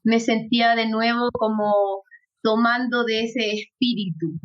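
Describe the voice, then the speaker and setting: A woman's voice: on the high side, steady tone, a little slow. A woman raised in Ecuador, an interview guest, telling her story with frequent laughs and hesitant fillers. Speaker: guest, actress and yoga instructor. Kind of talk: conversational interview. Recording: dull lo-fi audio.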